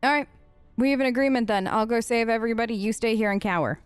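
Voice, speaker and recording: Higher-pitched woman, dry close recording. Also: fast, smooth, dry recording, faint music behind. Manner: informal, outspoken. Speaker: let's play host. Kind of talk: casual improvised role-play commentary on a game.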